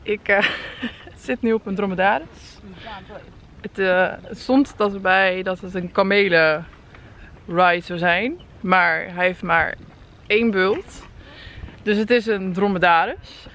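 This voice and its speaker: A woman's voice: mid-range voice, takes it slowly, pauses often, fairly bright tone. A young woman in her twenties, chatting upbeat to a handheld camera at arm's length while on holiday. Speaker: travel vlogger. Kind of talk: casual travel vlog. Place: outdoors, hotel rooms and a spa on holiday.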